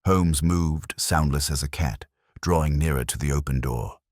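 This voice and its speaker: A man's voice: extremely low pitch, moderate pace, darkish tone, dry recording, clean studio sound. A man reading a scripted mystery story as a narrator, solemn and suspenseful in his dramatic delivery. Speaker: audiobook narrator.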